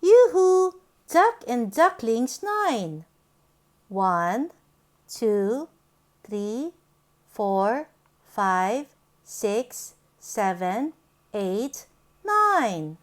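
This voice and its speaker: Female children's storybook reader, high voice, lively swooping intonation, sing-song and deliberate. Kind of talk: read-aloud picture book storytelling for young children.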